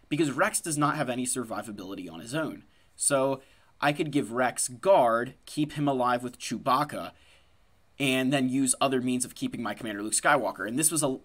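A man's voice: medium pitch, fairly bright tone. Sniffly man chatting about a game. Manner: conversational, lots of "right?" and "like". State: stuffy and sniffling from a cold. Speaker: gaming channel host. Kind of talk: casual game commentary. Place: home room at a desk.

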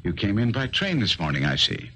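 A courteous, brisk detective in an old radio play. His voice is deep and animated, urbane and precise, with faint noise on the recording. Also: heavily acted. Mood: courteous, reassuring. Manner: urbane, precise, dryly teasing. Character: brisk, courteous, self-assured male detective. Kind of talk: 1970s radio drama acting. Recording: faintly noisy 1970s radio broadcast.

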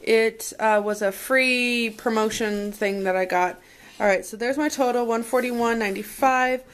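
A woman's voice: a little high, a little slow. A woman vlogger talking casually indoors at home in a rambling, think-aloud way, recorded on a handheld camera. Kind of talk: casual chat, showing a grocery haul. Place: indoors at a home dining table. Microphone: handheld camera microphone. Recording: noisy handheld camera audio with clicks.

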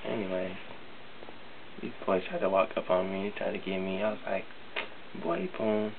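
A man doing a sassy, gravelly flamboyant cousin. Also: sits low, expressive, moderate pace. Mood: boastful. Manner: sassy, boastful storyteller, drawling. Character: sassy flamboyant young male cousin, tough talker. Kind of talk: comedy skit. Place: basement. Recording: tinny lo-fi audio with hiss.

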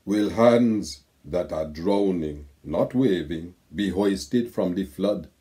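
An older man from Saint Kitts and Nevis reading his own poetic letter aloud in a slow, measured way, recorded on a webcam at home. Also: low-pitched, mellow. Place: home room with bookshelves.

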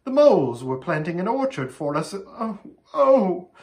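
Storybook queen played by a man: young, gentle, high-pitched, plaintive and effusive, wide swoops. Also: sing-song, unhurried. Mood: sobbing despair.

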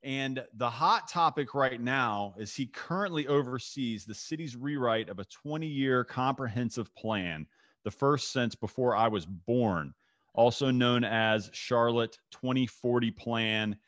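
A man's voice: medium pitch, a little slow, fairly bright tone, no room sound. A man in his thirties from North Carolina, hosting a video-call interview in an eager, effusive way, often repeating words. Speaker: podcast host. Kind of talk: enthusiastic interview chat. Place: home office with bookshelves. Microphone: studio mic on boom arm, video call.

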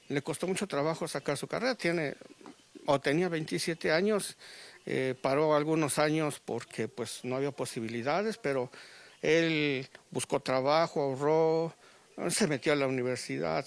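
A middle-aged Mexican man with a gravelly, somewhat high voice speaks haltingly, often restarting his sentences. He talks into a handheld reporter mic outdoors under a tent, in a live news interview. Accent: Mexican Spanish. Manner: halting, many restarts and fillers. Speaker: grieving father.

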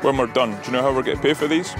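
A man's voice: mid-range voice, very fast, over music. A man in his thirties co-hosting a show, telling puns and jokes. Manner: pun-telling, bouncy. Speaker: co-host. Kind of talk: joking casual chat. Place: outdoor farm and open barn.

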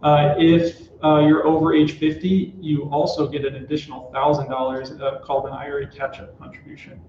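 A man's voice: on the high side, little pitch movement, fairly quick, a little mellow, slight background noise. A man in his thirties, a tax expert explaining rules steadily in an office interview, with frequent "uh"s. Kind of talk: explaining tax rules in a live company broadcast. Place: small office room. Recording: roomy, dull office recording.

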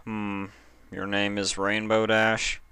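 A fussy male pony clerk from a storybook, voiced by a man. He speaks slowly and formally in a deadpan, crisp voice, every word deliberate. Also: fairly low pitch, no echo, clean studio sound, full character voice. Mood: businesslike.

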